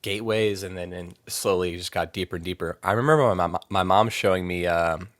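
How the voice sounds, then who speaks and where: A man's voice: low voice, fairly quick, fairly bright tone, no echo, studio quality. A young man from Maryland chatting casually as a podcast guest, rambling with lots of fillers and laughing easily. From Maryland, USA. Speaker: podcast guest, metal vocalist. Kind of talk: casual interview chat.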